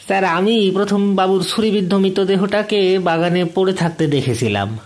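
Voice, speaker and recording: A man's voice: high-pitched, natural voice. A man playing a meek, anxious forty-year-old male household cook in an audio drama, speaking deferentially. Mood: anxious, recounting. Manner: deferential, halting, testifying as a servant. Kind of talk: Bengali audio drama acting.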